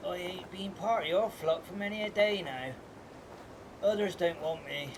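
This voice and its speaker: An embittered old village outcast, acted by a man, speaking in rustic phrases with a fairly high voice. The audio drama recording is clearly noisy, with clicks. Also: measured pace. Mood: weary, bitter. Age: older.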